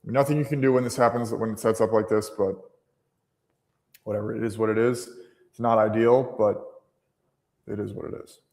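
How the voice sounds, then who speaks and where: A man's voice: lowish voice, fairly even intonation, moderate pace, gravelly, long pauses, darkish tone, big-room sound. A man hosts a live trading stream in a casual, unscripted way. He thinks aloud as he goes, restarting sentences and leaning on fillers. His tone stays conversational and everyday.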